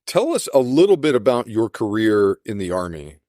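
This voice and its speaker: A man's voice: on the low side, big pitch swings, normal speed, a little mellow, no room sound, studio quality. A man hosting a podcast, putting questions and short replies to his guest in a casual interview style.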